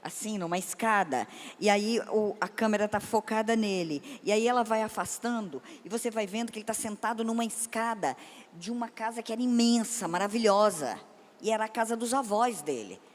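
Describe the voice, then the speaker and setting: A woman's voice: medium pitch, a little fast. A woman moderating a seminar panel, who talks in a chatty, enthusiastic way and often restarts her sentences. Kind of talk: casual seminar talk. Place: large event hall. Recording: reverberant hall.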